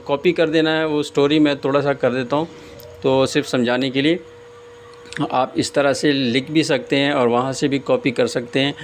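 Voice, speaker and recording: A man giving a casual step-by-step screen tutorial as host, often pausing hesitantly mid-sentence. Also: a little high. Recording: clear background noise, room ambience.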